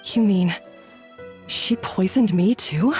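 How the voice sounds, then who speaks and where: Gloomy unsure young chef gal voiced by a woman, talks real slow, quiet game music behind. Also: mid-range voice, close to an everyday voice. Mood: downcast. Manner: slow, subdued, hesitant. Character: insecure young woman head chef, self-doubting. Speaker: head chef. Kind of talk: game cutscene voice acting. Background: faint game music.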